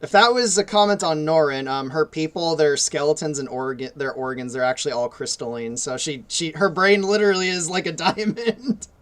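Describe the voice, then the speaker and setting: A man's voice: a little high, a little fast, few pauses, fairly bright tone, smooth. A man hosting a casual chat about his characters, laughing a lot. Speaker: creator and host.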